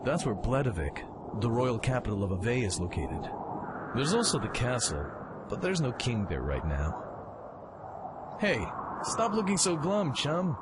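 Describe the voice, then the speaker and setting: A man acting a brash, excitable young mech pilot in a fan radio play. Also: mid-range voice, unhurried, long pauses. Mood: breezy, casual. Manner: brash, cocky, exclamatory. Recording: clearly noisy background ambience.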